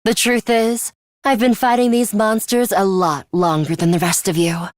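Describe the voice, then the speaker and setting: A woman's voice: medium pitch, flat delivery, normal speed, natural voice. A woman voicing an aloof, scornful young female fighter with a sadistic streak in a video game, curt and condescending in manner. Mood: cool, confident. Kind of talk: English video game voice acting.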